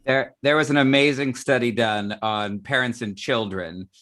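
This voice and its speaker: A man's voice: medium pitch, steady tone, moderate pace, a bit bright. Male interviewer, mid-range voice, casual live-panel talk, keeps tagging his points with "right?". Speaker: interviewer in a theatre discussion.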